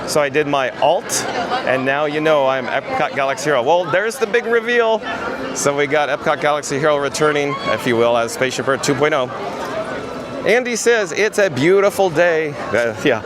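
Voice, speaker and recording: A man with a slightly hoarse, raspy voice hosts a live outdoor stream, upbeat, greeting viewers by name and reading their chat messages aloud. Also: a little high, expressive, normal speed. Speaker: livestream host. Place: outdoors at a busy theme park street at dusk. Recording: crowd and street noise behind. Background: crowd chatter and outdoor noise.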